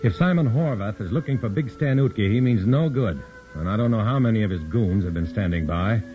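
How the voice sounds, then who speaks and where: A man's voice: on the low side, fairly quick, soft background music, lightly acted. An actor playing a grim undercover man in a 1940s radio drama, narrating in clipped, tough-talking first person. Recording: loud hiss on a dull old radio recording.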